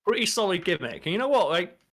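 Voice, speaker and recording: A man's voice: high voice, very animated, quick pace, a bit bright. A young British man co-hosting a podcast with casual, loose banter. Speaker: podcast co-host.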